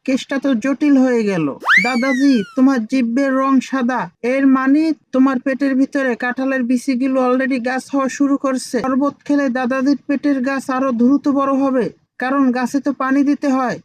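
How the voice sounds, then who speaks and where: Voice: high voice, flat delivery, normal speed, no room sound, lightly acted, low-quality recording. An actor voicing a cheeky, confident five-year-old boy who plays doctor in a comic village cartoon. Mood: cocky. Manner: confident, know-it-all.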